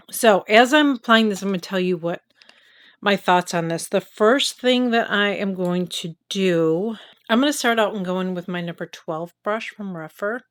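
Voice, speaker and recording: A woman's voice: mid-range voice, fairly bright tone. Chatty woman, nasal from a cold. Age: middle-aged. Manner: chatty, candid, talks while applying makeup. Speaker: beauty vlogger. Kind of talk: casual makeup review and tutorial.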